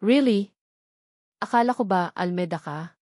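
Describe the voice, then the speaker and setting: A woman voicing a smug, mocking older brother in an audio drama, drawling her lines slowly and teasingly, recorded dry. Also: mid-range voice, darkish tone, dry recording, lightly acted.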